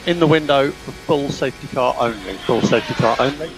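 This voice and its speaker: A man's voice: mid-range voice, fairly quick, noisy background. A man working as a race engineer, giving clipped instructions over team radio to a driver and repeating key calls. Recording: team radio with music behind.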